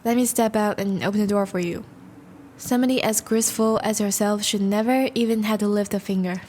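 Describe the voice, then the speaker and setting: A woman playing a charming, once-noble vampire in a romantic audio roleplay, speaking formally and intimately to the listener, with a soft, hesitant manner. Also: medium pitch, steady tone, a little fast, a little hiss or hum, lightly acted. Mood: gracious, flirtatious. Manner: formal, courteous, hesitant, soft-spoken confessions.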